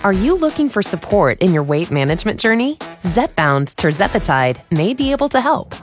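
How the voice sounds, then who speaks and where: A woman announcer reading a prescription medicine ad in a calm, even, informative way. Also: medium pitch, normal speed, faint music behind. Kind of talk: scripted medical ad narration.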